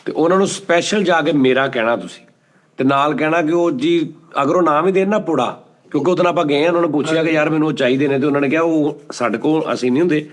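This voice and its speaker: A man telling funny stories in a casual chat, animated and quick to act out quotes. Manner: animated, jumps into high-pitched acted quotes. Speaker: storyteller guest.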